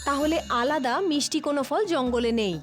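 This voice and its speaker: A woman voicing a sly, chatty fox with a persuasive, expressive manner in a children's cartoon. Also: sits high, brisk, natural voice. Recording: faint music bed under voice.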